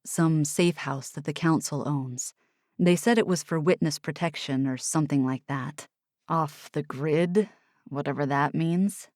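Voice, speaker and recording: A woman voicing a young male soldier with a low, subdued voice, frustrated and self-blaming in an audiobook. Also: unhurried, a little mellow, no room sound, studio quality. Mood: grim. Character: young male soldier, guilt-ridden and restless.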